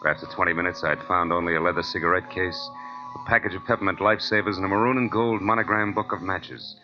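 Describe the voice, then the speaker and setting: Hard-boiled detective, American, 1940s radio drama: very low voice, deadpan and suspicious, dull vintage sound with music behind. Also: male voice. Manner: wry, clipped, deadpan. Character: hard-boiled male private detective, wry, suspicious, blunt. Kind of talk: 1940s radio drama acting, first-person noir narration. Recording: dull old-time radio recording with music.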